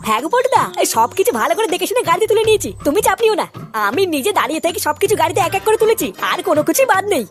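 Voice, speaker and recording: Brash young cartoon troublemaker, extremely high-pitched and rapid-fire, irritable, music behind. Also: big pitch swings. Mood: explaining. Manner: brash, scolding, swearing. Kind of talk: comedy cartoon voice acting. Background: background music.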